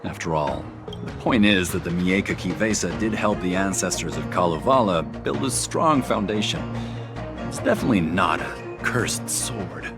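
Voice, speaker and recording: Earnest, low-voiced storyteller. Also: male voice, animated, moderate pace, over music. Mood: earnest, hedging. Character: earnest male storyteller recounting an old sword legend. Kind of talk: video game voice acting.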